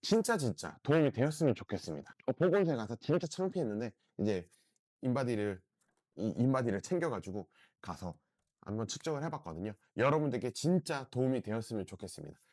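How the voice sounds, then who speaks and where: A middle-aged man, hoarse from a throat cold, talks through a home product review. His pitch swings up and down a lot as he pushes his point. The sound is close and dry, with no room echo. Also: very animated, fast, a little mellow. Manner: earnest, repeats "진짜" often, persuasive. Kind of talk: casual review vlog.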